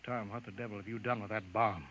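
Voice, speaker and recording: A man playing an irascible, authoritarian bureau boss in 1950s radio drama, gruff, blustering and clipped. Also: on the low side, steady tone, quick pace, a bit bright, slight background noise, close to an everyday voice. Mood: shocked, exasperated. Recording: band-limited vintage 1950s radio recording.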